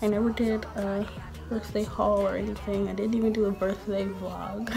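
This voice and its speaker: Young woman, 19, gravelly fry, rambling casual chat, small bedroom, music behind. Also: mid-range voice, flat delivery, moderate pace, dark tone. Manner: chatty, rambling, frequent "like" and "um". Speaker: beauty vlogger. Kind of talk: casual chat while doing makeup.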